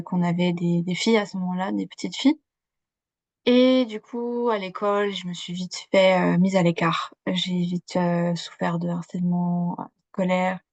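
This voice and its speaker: A woman's voice: lowish voice, darkish tone, dry recording. A young woman born in Switzerland, a coach guesting on a podcast, talking casually with lots of fillers. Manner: chatty, many fillers, laughs easily. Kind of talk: casual conversational interview.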